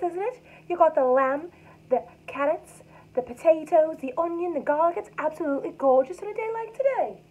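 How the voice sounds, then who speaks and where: A woman's voice: high voice, darkish tone, muffled recording. A woman from Liverpool with a Scouse accent, chatting casually and gushing through a cooking vlog in her kitchen. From Liverpool, England. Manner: chatty, gushing, dialect-heavy.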